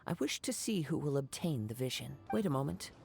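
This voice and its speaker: A voice actor playing a calm, courteous young male wandering samurai who speaks in a measured, formal and gentle way, sometimes dropping to a whisper. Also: medium pitch, gravelly, over music. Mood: thoughtful. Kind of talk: soft ASMR-style fan voice acting.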